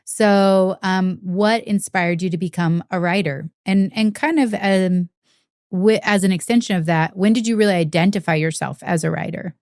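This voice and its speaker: A woman's voice: on the low side, moderate pace, a little mellow, dry recording, clean studio sound. A woman co-hosting a podcast, asking an author questions in an enthusiastic, casual way. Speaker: podcast co-host. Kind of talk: casual interview chat.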